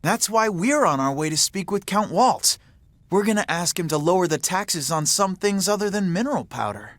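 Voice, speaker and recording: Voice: medium pitch, lively intonation, moderate pace, no echo, close to an everyday voice. An actor playing a scrappy, good-natured teenage boy in a video game dub, talking in a casual, slangy, straight-shooting way. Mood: earnest.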